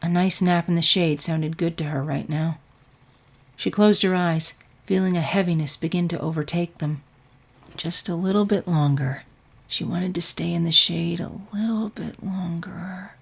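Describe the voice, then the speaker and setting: A woman reading scripted fiction aloud as an expressive story narrator. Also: on the low side, moderate pace, creaky, husky.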